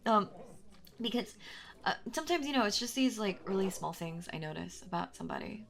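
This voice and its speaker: A woman's voice: mid-range voice, lively intonation, fairly quick, gravelly. A woman playing a very shy, anxious young stranger in an intimate audio roleplay, rambling and stammering as she talks to the listener. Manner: hesitant, stammering, nervously giggly, self-doubting.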